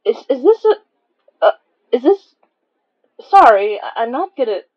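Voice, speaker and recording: Flustered young woman for podcast drama, played by a woman: hesitant, stammering, lively swooping pitch, slightly dull recording. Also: unhurried, some chatter behind. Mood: flustered, awkward. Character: awkward, flustered, easily startled young woman. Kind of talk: naturalistic audio drama dialogue.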